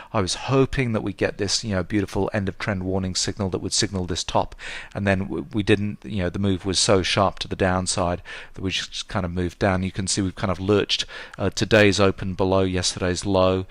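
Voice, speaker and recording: Male market analyst, hoarse, very low and level delivery, hesitant and filler-heavy, dry close sound. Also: flat delivery, no room sound. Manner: halting, many fillers and hedges. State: hoarse, sore throat. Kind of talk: chart analysis lesson.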